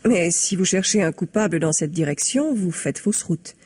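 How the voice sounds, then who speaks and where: An actress playing a composed, guarded married woman in a French radio mystery, speaking in a measured, formal, carefully worded way. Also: fairly low pitch, expressive, warm tone, husky. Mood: composed, defensive.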